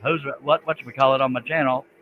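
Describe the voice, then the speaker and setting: A man's voice: mid-range voice, steady tone, fast talker. A man from Texas hosting a live stream in a folksy, casual way. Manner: folksy, says "dang". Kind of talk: casual chat. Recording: tinny phone-like line with faint noise.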